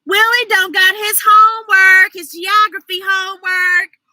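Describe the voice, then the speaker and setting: Woman putting on a bright, high, blunt little schoolgirl. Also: little pitch movement, unhurried, dry recording, clean studio sound, heavily acted. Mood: eager, self-satisfied. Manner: emphatic, childlike, folksy grammar. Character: blunt, self-satisfied, eager schoolgirl.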